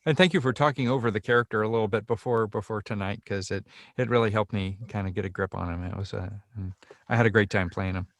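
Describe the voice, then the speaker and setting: A man's voice: on the low side, fast talker, no echo, studio quality. A male actor chatting casually and appreciatively on a video call. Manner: appreciative, complimentary. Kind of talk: casual chat.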